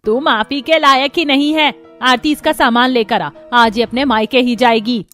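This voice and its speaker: A woman's voice: a little high, fairly even intonation, moderate pace, fairly bright tone, faint music behind, close to an everyday voice. A woman playing a harsh, scolding middle-aged Indian mother-in-law in a Hindi cartoon story, with a scornful, nagging manner. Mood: furious.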